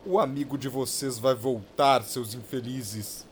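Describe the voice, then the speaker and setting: A man's voice: medium pitch, fairly even intonation, unhurried, background noise, close to an everyday voice. A man playing a haughty, desperate restless soul in a borrowed body in an audio drama, with a Brazilian accent and formal, insulting speech. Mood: haughty irritation.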